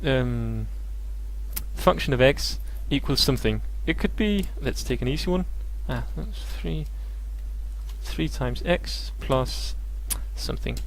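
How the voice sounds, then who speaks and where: A young man with Danish as his first language explains maths in a loose, unscripted live stream. His voice sits at an ordinary middle pitch. He hesitates a lot, fills gaps with "um" and "yeah", and often restarts his sentences. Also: lively intonation, slow, vocal fry, a little hiss or hum, low-quality recording. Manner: hesitant, many fillers and restarts. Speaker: maths tutor and host. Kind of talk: casual live tutorial.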